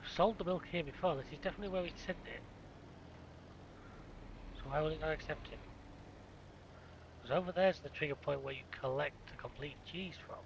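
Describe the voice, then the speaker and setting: A man's voice: a little high, a little fast, gravelly, pauses often, muffled recording. A man with a blocked nose from a cold, casually commenting on a farming game and grumbling at it as he plays. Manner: grumbling, self-mocking muttering at the game. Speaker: gaming YouTuber. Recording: game sounds and vehicle noise behind. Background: farming game vehicle noise.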